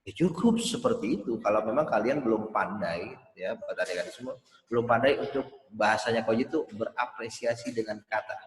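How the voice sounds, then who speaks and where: A man's voice: on the low side, fairly quick. A man giving a casual webinar talk on emcee skills, rambling a little and sounding out tricky words as examples. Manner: loose, rambling explanations with spoken pronunciation demos. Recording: roomy with long reverb.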